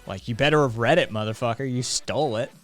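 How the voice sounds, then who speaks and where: A man's voice: mid-range voice, fast talker. A man in his late thirties hosting a live stream, chatting casually with viewers in a rambling, profane way full of fillers. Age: late 30s. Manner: profane, rambling, laid-back with frequent fillers. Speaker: streamer host.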